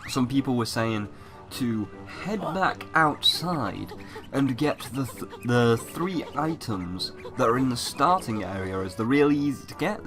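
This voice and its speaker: A young man doing let's play commentary, slightly sick and stuffy, chatty and rambling with casual swearing. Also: medium pitch. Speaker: gaming YouTuber. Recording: loud game music behind.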